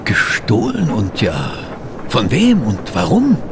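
An actor playing an excitable, polite gentleman sidekick in a German radio play, often puzzled and exclamatory. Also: medium pitch, takes it slowly, background noise, heavily acted. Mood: puzzled, curious. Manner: flustered, hesitant, exclamatory.

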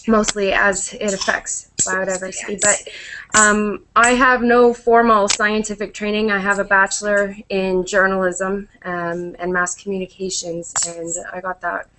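A young woman with a Canadian accent introducing herself casually as a student on a video call, through a headset. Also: medium pitch. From Toronto area, Canada. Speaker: course student. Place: room with wooden cupboards, indoors. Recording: faint noise on video call.

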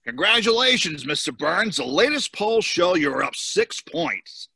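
A man reads the part of a slick campaign adviser, brisk and emphatic. His voice is gravelly and very sharp, close and dry, with lively pitch movement. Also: no room sound, heavily acted. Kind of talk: amateur cartoon table read. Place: indoors on a home video call.

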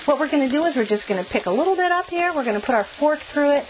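A woman's voice: sits high, expressive, very fast, slight background noise, poor audio. A middle-aged woman hosting a cooking show, explaining each step in an upbeat scripted way from a small RV kitchen on a lapel mic.

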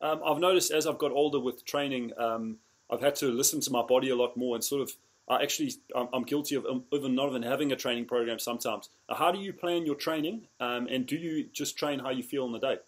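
A man's voice: medium pitch, quick pace. Hesitant male interviewer on a video call. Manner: hesitant, many fillers. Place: indoor room. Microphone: laptop or phone on a video call.

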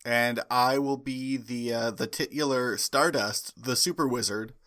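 A man's voice: medium pitch, fairly even intonation, measured pace, bright, forceful tone, dry recording, studio quality. A man, perhaps in his thirties, co-hosting a podcast with casual, joking talk. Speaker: podcast co-host.

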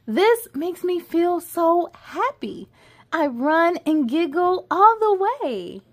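Woman playing a little girl, storybook read-aloud, big emotions, high voice, unhurried exaggerated delivery. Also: heavily acted. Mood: happy. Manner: slow, exaggerated, expressive delivery.

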